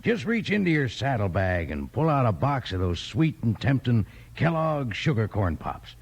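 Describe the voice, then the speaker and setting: An American man pitches to young listeners in folksy cowboy talk, as on 1950s radio. His husky, gravelly voice swings up and down with enthusiasm. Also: very animated, moderate pace. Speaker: sponsor announcer and pitchman.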